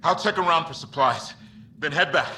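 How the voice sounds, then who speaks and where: A man's voice: on the high side, moderate pace, bright, forceful tone. A man playing an earnest, stranded crewman in a sci-fi video game. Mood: weary. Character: relieved, earnest male crewman stranded after crash. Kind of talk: video game dialogue acting. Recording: distant game audio with faint noise.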